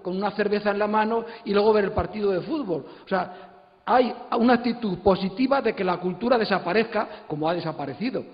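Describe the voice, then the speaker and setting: A man with a high voice speaks on a congress panel in an indignant, insistent way, hammering points by repetition. The recording is dull, with the long reverb of a large hall. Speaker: congress speaker, graphic humour specialist. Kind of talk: impassioned opinion at a public panel.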